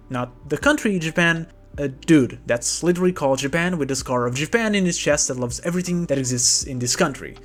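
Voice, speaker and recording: A man hosting a scripted commentary video, talking with dry sarcastic jokes. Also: a little high. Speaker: manga video essayist.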